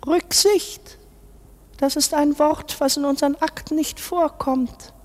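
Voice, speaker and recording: An actress playing a brisk, callous court official in a radio play, commanding and mocking. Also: female voice, high-pitched, fairly even intonation, darkish tone. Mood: curt, commanding. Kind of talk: German radio play acting.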